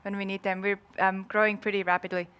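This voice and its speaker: A woman with a Swiss accent speaks in a medium-pitched voice as a guest on an interview. She is casual and chatty, with a playful, joking way of telling things. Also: little pitch movement, fast, fairly bright tone, clear, resonant tone. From Switzerland. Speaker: interview guest, marketing entrepreneur.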